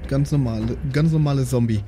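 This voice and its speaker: A man chats informally at a game table as one of the players. His voice is middling in pitch and his wording slangy, with music playing clearly behind him. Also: brisk, warm tone. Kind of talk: casual chat at a game table.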